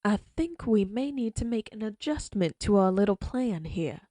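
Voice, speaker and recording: A woman playing a menacing female outlaw leader who taunts her captives in a slow, mocking drawl. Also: mid-range voice, animated, mellow, no echo, natural voice. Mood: taunting. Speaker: voice actress. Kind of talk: audio roleplay acting.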